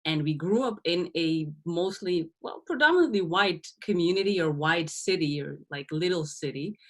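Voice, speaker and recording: A woman in her thirties from Belgium with a low voice. She talks through her past in a reflective, easygoing way, laughing often and restarting her sentences. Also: expressive, moderate pace. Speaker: guest. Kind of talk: casual interview.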